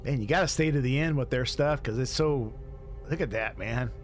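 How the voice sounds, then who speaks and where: A man's voice: medium pitch, moderate pace, soft background music. A man giving casual reaction commentary, hesitant and rambling as he thinks aloud. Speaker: music video reaction host.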